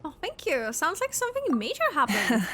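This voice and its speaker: A woman gaming streamer giving casual, expressive and giggly reaction commentary. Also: high-pitched, brisk.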